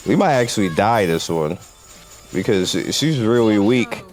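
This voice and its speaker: A man's voice: on the low side, expressive, measured pace, darkish tone. A man hosting a gaming channel, giving casual, off-the-cuff commentary in a blunt, opinionated way over gameplay. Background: game music.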